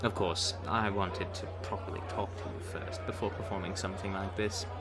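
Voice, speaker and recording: A man's voice: deep voice, fairly even intonation, normal speed, over loud music, over a noisy crowd, lightly acted. A man playing a charming, teasing, smitten young bard in an intimate ASMR romance roleplay, coaxing the listener. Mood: smitten, tender. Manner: teasing, coaxing, with soft chuckles and hesitant asides.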